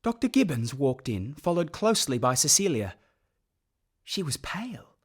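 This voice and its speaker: A man's voice: on the high side, sing-song, normal speed, breathy edge. A man with an Australian accent acting as a wry, solemn narrator looking back on his orphaned boyhood in a radio play. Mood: wry recollection. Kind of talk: first-person scripted radio play narration.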